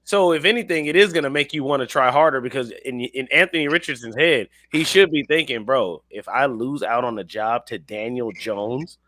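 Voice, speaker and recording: A man's voice: medium pitch, normal speed, few pauses, bright, forceful tone. A man in casual sports banter, speaking emphatically and with plenty of slang. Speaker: sports talk panelist.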